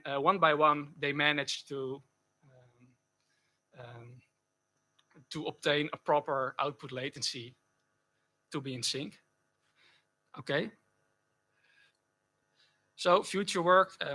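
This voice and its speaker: A man giving a technical conference talk and answering questions, speaking haltingly with frequent self-corrections. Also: fairly high pitch, sharp tone. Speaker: conference speaker presenting a project.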